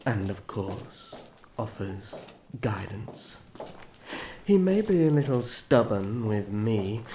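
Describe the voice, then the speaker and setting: Man playing a slow, gentle, courtly elf prince. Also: on the low side, slight background noise, poor audio. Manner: soft-spoken, reassuring, courteous. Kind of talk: intimate fantasy ASMR roleplay.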